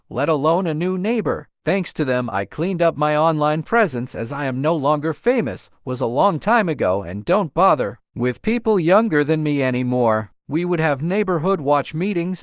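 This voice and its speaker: A man narrating read-out online stories in a steady, even read-aloud delivery. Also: a little high, dry recording, clean studio sound. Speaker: story narrator.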